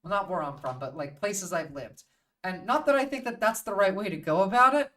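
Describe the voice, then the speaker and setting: A woman's voice: medium pitch, animated, fairly quick, fairly bright tone, far from the mic. A woman hosting a livestream in casual, opinionated chat, swearing freely and bluntly as she thinks aloud. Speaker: livestream host.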